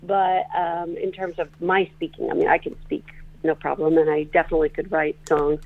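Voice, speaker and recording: A composer and performer from northeastern Pennsylvania, answering interview questions on the radio, talking in a halting way with many restarts. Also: medium pitch, flat delivery. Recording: tinny phone line.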